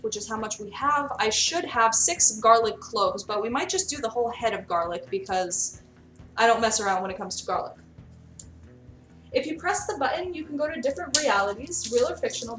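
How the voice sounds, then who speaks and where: A woman's voice: fairly high pitch, a bit bright, far from the mic. Chatty young woman streaming from a kitchen. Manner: chatty, reads out and answers chat. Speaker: streamer cooking live. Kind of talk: casual live-stream chat. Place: kitchen in a friend's house. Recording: roomy, off-mic, with faint music. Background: faint music.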